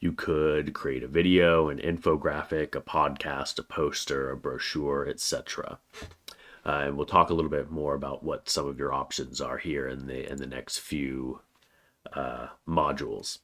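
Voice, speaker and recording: A man with a cold, a college writing instructor giving a recorded weekly course overview in a plain, steady way with frequent "uh" fillers. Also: rumbling low, moderate pace. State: has a cold, slightly congested.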